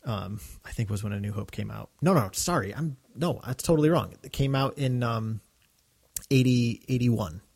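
A man's voice: medium pitch, animated, normal speed, creaky, darkish tone. A man co-hosting a fan podcast, reading listener mail and chatting casually, laughing easily. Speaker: podcast co-host.

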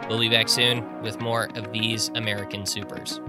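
An actor playing a wry public radio show host, reading a scripted sign-off with deadpan delivery. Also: male voice, fairly low pitch, moderate pace, close to an everyday voice. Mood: wry, presenting. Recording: loud music under the voice. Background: loud music.

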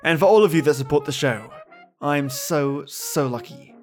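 A man's voice: fairly high pitch, moderate pace, soft background music. A man hosting a podcast, presenting scripted introductions in a theatrical, playful manner. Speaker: podcast host. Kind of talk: scripted introduction to old-time radio episodes.

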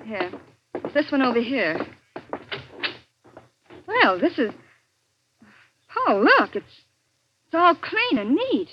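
Anxious, devoted young niece, highish voice, earnest vintage radio suspense delivery, dulled recording. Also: female voice, sing-song, takes it slowly, long pauses, slightly rough, full character voice. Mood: worried, puzzled. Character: worried, stubborn, devoted young married niece. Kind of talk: 1940s radio suspense acting with narration. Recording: muffled, noisy old radio recording.

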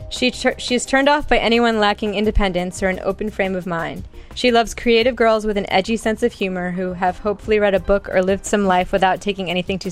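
A woman hosting a podcast dating game, reading contestant bios in a scripted way. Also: mid-range voice. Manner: reads contestant bios smoothly. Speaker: podcast host.

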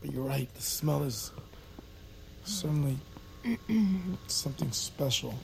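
An actress playing a shaken, skeptical doctor in an audio drama, hesitant and protesting. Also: female voice, animated, slow, creaky, a little mellow, exaggerated voice. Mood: doubtful. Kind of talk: audio fiction drama acting. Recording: noisy ambience, vehicle-like rumble.

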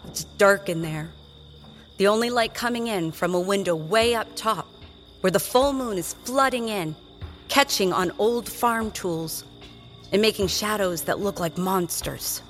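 A woman's voice: mid-range voice, a little slow, faint music behind, natural voice. A woman narrating a scripted fantasy tale for children in an audio drama. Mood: atmospheric.

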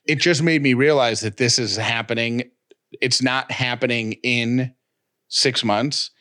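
A man co-hosting a podcast with his wife, talking in an animated, rambling, self-mocking way. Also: mid-range voice, unhurried. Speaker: podcast co-host. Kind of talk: casual chat.

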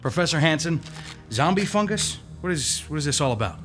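An actor playing a level-headed county detective, dry and matter-of-fact. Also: male voice, on the high side, animated, a little fast, music in the background, natural voice.

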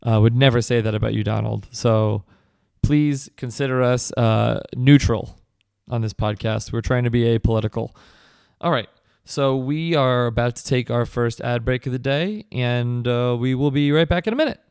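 A man hosting a comedy podcast in a mock-earnest, rambling, deadpan way. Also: medium pitch, moderate pace, gravelly, clear, resonant tone, no room sound, clean studio sound. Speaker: podcast host.

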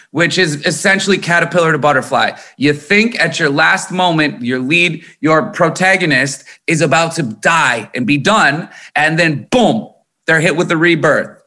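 A man in his thirties from Minnesota, a musician talking candidly and emphatically in an interview, swearing freely. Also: fairly high pitch. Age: 30s. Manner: animated, emphatic, swears often. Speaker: guest musician.

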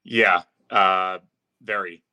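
A man's voice: deep voice, steady tone, very slow, sharp tone, clear, resonant tone. A man who works as an arena announcer, chatting casually as a guest on a sports podcast. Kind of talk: casual sports interview chat.

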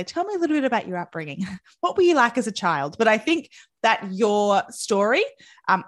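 Encouraging female podcast host. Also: fairly low pitch. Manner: warm, encouraging interviewer who asks follow-up questions. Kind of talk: interview podcast.

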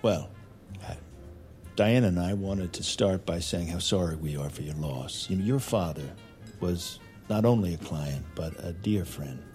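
Actor playing a low-voiced, condescending finance boss. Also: male voice, moderate pace, a little mellow, soft background music, lightly acted. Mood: courteous. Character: smooth, pressuring male senior finance boss. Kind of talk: naturalistic screen drama acting.

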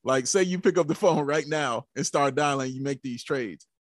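A man's voice: mid-range voice, normal speed, no room sound, clean studio sound. A man co-hosting a sports podcast in casual fan talk, preaching emphatically with rhetorical questions and repeated catchphrases.

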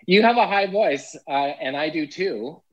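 A Canadian man with a naturally high voice, chatting playfully in an interview about acting and impressions. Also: expressive, muffled recording. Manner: playful, self-deprecating, quick asides. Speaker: actor and impressionist guest. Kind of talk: casual interview chat.

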